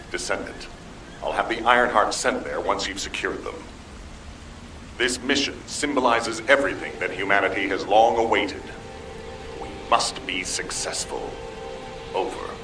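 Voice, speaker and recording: A stern military commander giving formal orders in a video game. His voice is on the low side and resolute. It sounds roomy and a little distant. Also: moderate pace, long pauses, pushed and bright, faint music behind. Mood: stern, solemn.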